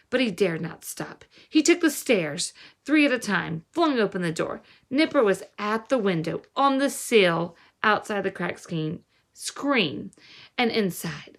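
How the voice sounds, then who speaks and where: Female audiobook reader for children's fiction, expressive, mid-pitched, emphatic delivery. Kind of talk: dramatic read-aloud of a children's novel.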